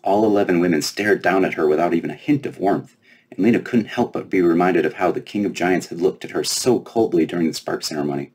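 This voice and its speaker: A man with a low voice reads a children's story aloud as its author. The tone is a little husky, and the delivery is expressive storybook narration. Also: fast. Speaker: author reading from own book.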